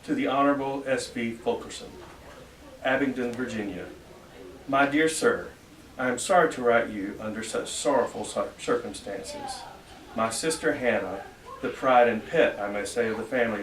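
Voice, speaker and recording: A man with a mid-pitched voice reads an old letter dramatically and formally, with deliberate emphasis. He sounds set back from the microphone in a very echoey room. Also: a bit bright. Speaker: reader at a library event. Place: library reading room at a public event. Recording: echoey room, distant mic, faint noise.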